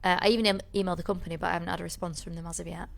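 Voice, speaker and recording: A woman's voice: lowish voice, fairly even intonation, very fast, vocal fry. A woman co-hosting a podcast, telling a rambling story in casual chat, with many restarts. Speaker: podcast co-host.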